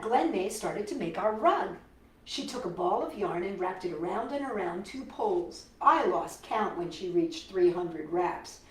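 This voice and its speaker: A woman reading a picture book aloud to children, expressive and full of wonder, pausing for chatty questions to listeners. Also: medium pitch, slight background noise. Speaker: children's storytime host. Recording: roomy echo, distant microphone.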